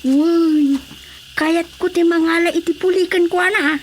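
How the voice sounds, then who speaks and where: High-voiced, bold rebel commander. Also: female voice, fairly even intonation, a little slow, a little hiss or hum. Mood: insistent. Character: woman rebel commander, bold and teasingly lustful. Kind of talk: radio drama acting.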